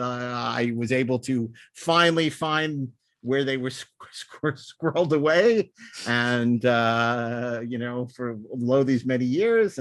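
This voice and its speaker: Man, podcast host, mid-pitched voice, dry sound, enthusiastic, casual, stop-start rambling delivery. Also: no room sound. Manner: rambling, with repeated words and stop-start phrasing. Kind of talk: casual podcast chat and sign-off.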